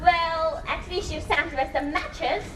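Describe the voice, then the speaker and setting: Woman playing a shrill, high-pitched, defiant young daughter. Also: fairly even intonation, takes it slowly. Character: defiant, scornful young daughter of a troubled household. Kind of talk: amateur stage play acting. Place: school stage performance, recorded from a distance. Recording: echoey, noisy hall, distant mic.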